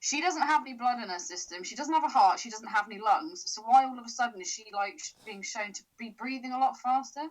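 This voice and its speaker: Woman on a podcast, fairly high voice, picking holes in the logic, swears a bit. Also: fast, few pauses, rough recording. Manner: questioning, picks apart logic, casual swearing. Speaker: podcast co-host. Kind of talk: casual film discussion.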